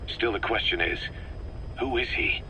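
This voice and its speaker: An actor playing a hard, suspicious, bitter military commander in a video game, speaking in a terse, accusatory way. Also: male voice, lowish voice, a little slow, pushed and bright. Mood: matter-of-fact, frustrated. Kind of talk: dramatic video game voice acting. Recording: slightly noisy cassette tape recording.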